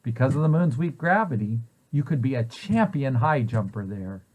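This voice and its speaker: A man's voice: medium pitch, expressive, moderate pace, darkish tone, poor audio. A man reading a children's picture book aloud, posing questions and answers in a storytelling way for young listeners. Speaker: preschool site director reading aloud.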